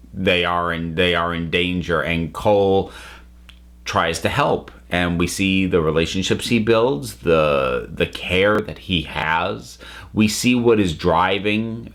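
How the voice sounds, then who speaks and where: A man with a fairly low voice talks through a review in a casual way. He is thoughtful and emphatic, and often breaks off mid-sentence to rephrase what he means. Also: a bit bright. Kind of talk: casual spoken review, talking to viewers.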